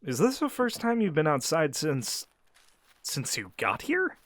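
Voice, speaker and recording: An actor playing a young male werewolf and caring pack beta, speaking gently and reassuringly straight to the listener in an audio roleplay. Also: on the high side, huge pitch swings, measured pace, full character voice. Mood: friendly.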